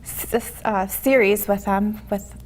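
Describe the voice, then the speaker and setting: A woman's voice: medium pitch, steady tone, measured pace, darkish tone. American woman reflecting in an interview. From born in Mexico City, raised in the United States. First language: Spanish. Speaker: interview guest, TV show host. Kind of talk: personal storytelling in an interview.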